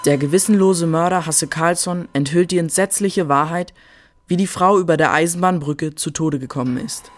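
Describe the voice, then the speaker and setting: A man reading radio drama credits as an announcer, in a measured, formal manner. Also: on the high side.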